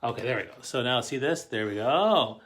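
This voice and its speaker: A middle-aged man with a Canadian accent, telling personal stories casually to camera in a rambling, halting way. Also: mid-range voice, lively intonation, fairly bright tone, slight background noise. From Canadian Maritimes. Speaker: host of a family stories show.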